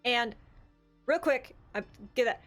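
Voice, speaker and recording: A woman co-hosting a casual tabletop gaming discussion, talking in an animated, opinionated, conversational way. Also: a little high, takes it slowly, soft background music. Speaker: podcast co-host.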